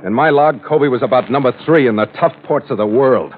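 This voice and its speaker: A man's voice: mid-range voice, brisk, close to an everyday voice. A man playing a world-weary, hard-boiled sea captain who narrates his log tersely in a 1940s radio drama style. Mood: grim. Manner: terse, clipped, dramatic delivery. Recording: tinny old radio recording with music.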